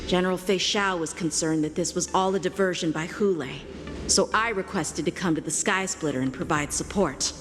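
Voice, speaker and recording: A woman's voice: on the low side, moderate pace, natural voice. A woman voicing an earnest, polite young boy swordsman in a video game, with a boyish delivery. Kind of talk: video game character acting. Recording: long reverb, music behind.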